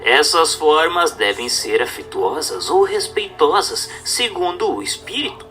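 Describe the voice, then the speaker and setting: Male narrator, bright forceful tone, formal didactic book reading, soft background music. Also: fairly high pitch, sing-song, a little slow. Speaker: audiobook narrator.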